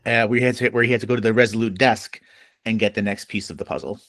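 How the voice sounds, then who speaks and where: A podcast co-host in casual book club banter, playful and quick to wander off on tangents. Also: medium pitch, fairly even intonation, fairly bright tone, dry recording.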